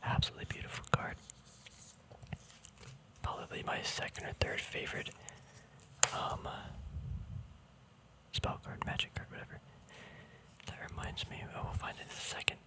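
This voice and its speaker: A man speaking in a soft, unvoiced whisper, relaxed and chatty, hosting a casual ASMR walkthrough. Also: moderate pace, long pauses, poor audio. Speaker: ASMR video host.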